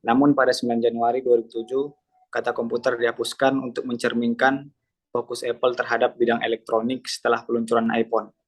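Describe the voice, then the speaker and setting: A young man from Medan moderating a class group presentation. He talks formally, reads from slides and often pauses on "eh". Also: mid-range voice. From Medan, Indonesia. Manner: formal, filler-heavy, reads slides in an even voice. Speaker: student moderator.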